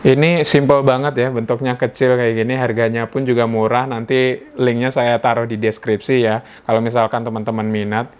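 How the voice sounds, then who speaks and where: A man's voice: mid-range voice, level tone, normal speed, a little hiss or hum. An Indonesian man giving a casual hands-on gadget review indoors at home, talking informally as if thinking aloud. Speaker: product reviewer. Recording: dull lo-fi sound.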